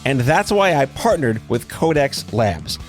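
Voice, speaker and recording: A man's voice: medium pitch, sing-song, unhurried, soft background music. Wry, blunt middle-aged male podcast host. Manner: blunt, wry, casually profane. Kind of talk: conversational podcast interview.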